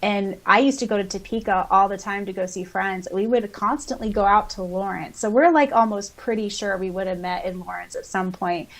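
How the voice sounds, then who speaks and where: Female podcast co-host, medium pitch, informal casual chat, anecdotal delivery. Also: fairly quick, hardly any pauses, poor audio. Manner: informal, conversational.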